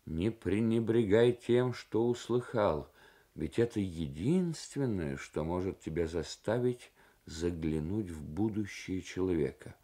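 A man voicing a thoughtful, kindly diplomat musing in a measured, reflective way for a radio novel reading. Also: low-pitched, animated, warm tone, voice pushed down.

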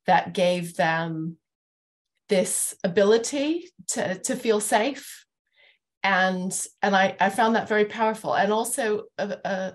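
A middle-aged woman moderates a panel from a room at home. Her voice sits a little low, and she feels her way through sentences thoughtfully, pausing and restarting as she goes on a video call. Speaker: host and moderator. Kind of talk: online panel discussion.

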